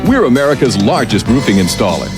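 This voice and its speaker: A man's voice: mid-range voice, sing-song, fast talker, music in the background. A man announcing a scripted commercial in a salesy style.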